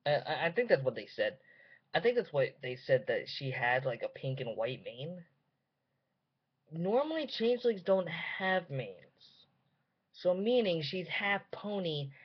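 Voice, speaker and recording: A young man talks casually to a webcam, thinking aloud in halting, repetitive phrases. The sound is slightly dull, recorded in a small room. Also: sits high, husky. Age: young adult. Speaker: reaction video host. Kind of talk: casual off-the-cuff commentary. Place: small dim room indoors.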